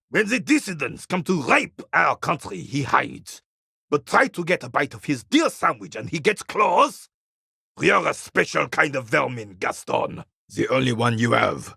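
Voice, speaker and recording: An actor playing a bitter, proud old war veteran in a video game, speaking with a gravelly rasp and curt, scornful disdain. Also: male voice, medium pitch, pushed and bright, dry recording, clean studio sound, exaggerated voice. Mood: contemptuous. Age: older. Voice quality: gravelly, raspy. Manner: curt, scornful, dismissive, old-soldier pomp. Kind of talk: video game character dialogue.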